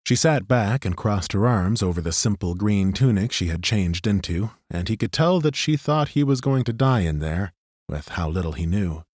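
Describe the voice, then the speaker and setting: Low-voiced male audiobook narrator. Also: lively intonation, normal speed, a little mellow, no room sound, clean studio sound. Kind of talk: scripted narration.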